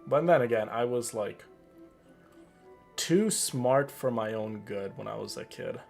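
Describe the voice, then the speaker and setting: A man's voice: medium pitch, a little slow, creaky, a little mellow, soft background music. A man from Canada chatting casually as a streamer, joking and rambling over gameplay.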